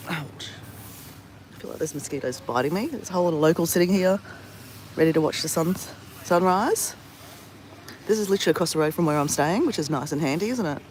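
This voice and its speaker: A man with a sore throat and a nasal, slightly higher voice talks casually to viewers on a live stream. He rambles on a beach at sunrise, speaking into a phone at arm's length. A faint outdoor hum sits behind him. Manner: chatty, rambling, greets viewers by name. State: sore throat, has flu. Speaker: travel vlogger.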